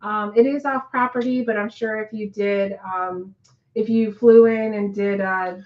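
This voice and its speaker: A woman co-hosting a casual live chat, rambling with many ums and restarts, her voice hoarse and nearly gone. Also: medium pitch, fairly even intonation, measured pace, dull audio.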